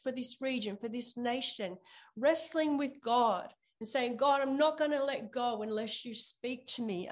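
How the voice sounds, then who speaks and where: A woman pastor giving a sermon and prayer, speaking earnestly and repeating phrases for emphasis. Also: high voice. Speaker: pastor preaching to a church.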